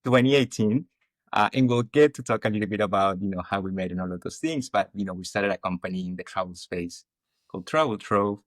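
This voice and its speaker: Low-voiced man hosting a podcast. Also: brisk, no room sound. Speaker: podcast host. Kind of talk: conversational interview.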